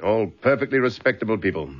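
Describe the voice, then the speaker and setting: An actor playing a stern, sceptical police superintendent in a radio drama. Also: male voice, on the low side, expressive, quick pace. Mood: curt, sceptical. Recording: dull lo-fi radio drama recording.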